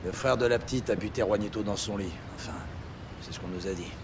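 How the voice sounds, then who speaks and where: An actor playing a worried, downbeat police partner in a French audio drama, terse and uneasy. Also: male voice, fairly low pitch, quick pace, natural voice. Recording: noisy street and car ambience.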